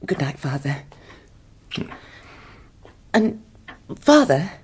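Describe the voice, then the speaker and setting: An actress playing an anxious, dutiful young daughter in a radio drama, with a breathy voice. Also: female voice, low-pitched, sing-song, extremely slow, a little mellow, full character voice. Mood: hesitant. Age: young adult.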